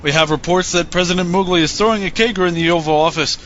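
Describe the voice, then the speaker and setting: A man as a highish-voiced deadpan reporter. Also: quick pace, dull audio, slight background noise. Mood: dry, probing. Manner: deadpan, formal. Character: straight-faced TV political field reporter. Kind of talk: parody news reporting.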